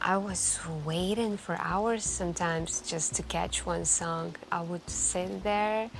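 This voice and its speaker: A woman playing a wistful, soft-spoken café stranger who confides intimately and hesitantly in an ASMR roleplay. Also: mid-range voice, gravelly. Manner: intimate, hesitant, musing, with gentle pauses.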